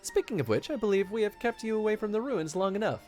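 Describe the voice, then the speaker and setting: A man's voice: high-pitched, expressive, fast talker, darkish tone, faint music behind, pitched above the performer's own voice. A man reading out the formal lines of a scholarly game character, stumbling now and then on long words. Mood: earnest. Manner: reads formal dialogue aloud, stumbling over big words.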